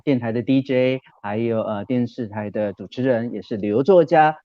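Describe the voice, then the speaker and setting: A man's voice: mid-range voice, mellow, no echo. A man from Taiwan with a Taiwanese accent, introducing himself formally as a panel guest on a muffled video call. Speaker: TV and radio host, panel guest.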